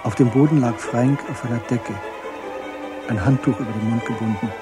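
Male narrator for crime radio play: medium pitch, measured and matter-of-fact, clear music underneath. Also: steady tone. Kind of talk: scripted radio drama narration.

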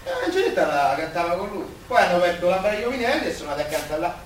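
Gossipy working class roman man, high voice, radio play, really echoey room. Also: rapid-fire. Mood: chatty, scolding. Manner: colloquial, expressive, gossipy. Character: chatty working-class Roman man, warm-hearted, plain-spoken. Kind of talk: Italian stage-style radio theatre dialogue. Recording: distant, very echoey room, faint noise.

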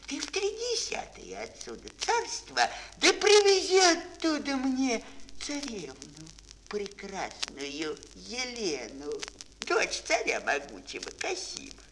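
Актёр играет сурового, шумного царя в детском аудиоспектакле, говорит театрально и декламационно. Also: male voice, high voice, sharp tone, voice pushed up, exaggerated voice. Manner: declamatory, theatrical. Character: stern, blustering tsar scolding a young thief. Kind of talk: fairy-tale audio play acting. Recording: noisy old mono record.

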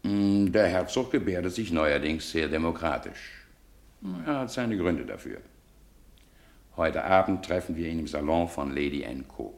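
A man's voice: deep voice, animated, moderate pace. An actor playing an eminent, skeptical man of letters, pompous, emphatic and sardonic, in a 1950s radio play. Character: Doctor Samuel Johnson, scornful, skeptical eminent man of letters.

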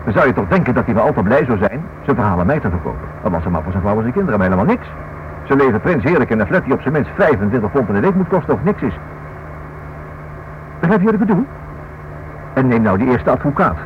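A man's voice: mid-range voice, sing-song, quick pace, lo-fi sound, natural voice. Actor as insistent man, noisy old radio recording. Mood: excited reasoning. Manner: thinks aloud, insistent, repeats himself. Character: inquisitive, stubborn married man writing a book. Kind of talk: radio play acting.

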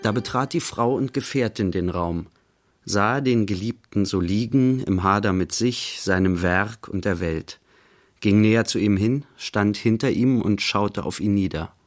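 Low-voiced actor as male radio play narrator, music beneath. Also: unhurried, close to an everyday voice. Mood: measured narrating. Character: narrator recounting an artist's day in present tense.

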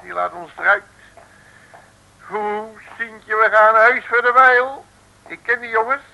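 An actor playing a cheeky, cowardly Dutch detective who grumbles melodramatically in slang in an old comic radio serial. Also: male voice, extremely high pitch, a little slow, gravelly, muffled recording, full character voice. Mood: sarcastic.

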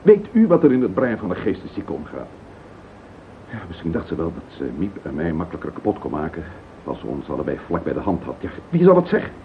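Radio drama husband: bitter, guilt-ridden, halting, swinging widely in tone. Tinny old recording. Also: male voice, sits low, wildly swooping pitch, a little hiss or hum, exaggerated voice. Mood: bitter, anguished. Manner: halting, emotional, self-justifying. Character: distraught husband confessing a murderous marriage, bitter and guilt-ridden. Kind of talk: radio play acting.

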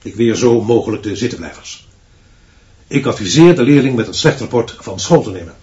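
An actor in a radio drama playing a merciless, authoritarian school director who speaks in clipped pronouncements. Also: male voice, mid-range voice, expressive, a little fast, dark tone, lo-fi sound, lightly acted. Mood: stern, official. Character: stern, dictatorial male headmaster, unbending and merciless. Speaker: school director addressing his teaching staff.

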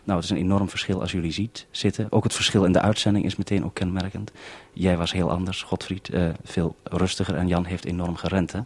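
Deep, husky Dutch radio interviewer, male. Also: flat delivery, brisk, few pauses, no room sound. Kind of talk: radio interview, commenting and asking questions.

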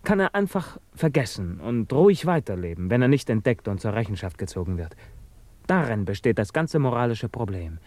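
A man's voice: fairly low pitch, big pitch swings, brisk, a little mellow, no echo. An actor in a German radio drama playing a young male scientist, guilt-ridden and defiant, with an intense, philosophical manner. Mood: probing.

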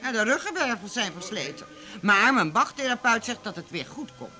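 A woman's voice: a little high, very animated, brisk. An actress playing an eccentric, querulous woman patient in a radio drama, plaintive and insistent in Netherlands Dutch, with music behind her. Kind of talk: 1980s radio drama acting.

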